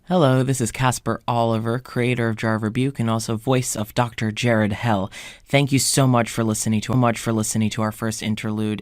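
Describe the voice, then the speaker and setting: A man's voice: fairly low pitch, no room sound. Dry, close-sounding male podcast announcer. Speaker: podcast creator and host. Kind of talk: scripted announcement to listeners.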